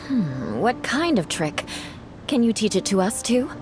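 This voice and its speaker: A poised young female knight in a video game. Her mid-range voice is proud and formal, composed yet lively in inflection, with music playing behind. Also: medium pitch, a little slow. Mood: curious. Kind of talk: video game cutscene acting. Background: background music.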